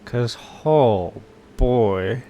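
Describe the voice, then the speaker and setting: A man's voice: medium pitch, animated, drawn-out words, dark tone, lo-fi sound, a little hiss or hum. A male streamer giving casual, reactive commentary while playing through a game story. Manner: reactive, musing, exclamatory.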